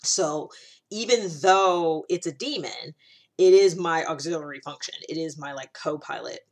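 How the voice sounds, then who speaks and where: Woman w a lowish voice just chatting thru an explainer, rambly, keeps going off on side notes. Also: unhurried. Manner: informal, rambling and self-interrupting, with frequent asides. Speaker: host of a personality-typing series. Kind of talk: casual explainer monologue.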